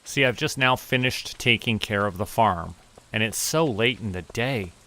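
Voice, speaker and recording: Young man, bright tense tone, low-ish voice, relaxed game commentary, faint clicking behind. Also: a little hiss or hum. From Canada. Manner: slow, musing, talks to himself while playing. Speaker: VTuber streamer.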